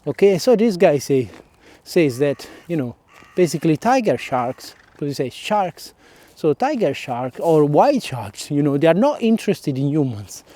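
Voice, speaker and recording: A man's voice: fairly high pitch, very animated, moderate pace, warm tone. A man with an Italian accent, a native Italian speaker, talking casually to his followers in a solo vlog, hesitant, with many fillers, often checking in with "okay?". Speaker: vlogger.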